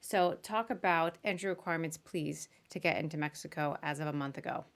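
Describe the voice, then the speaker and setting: A woman with a slightly low-pitched voice hosts a podcast. She is chatty and informal, speaking in the loose conversational style of a live interview. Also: a little fast. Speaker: podcast host.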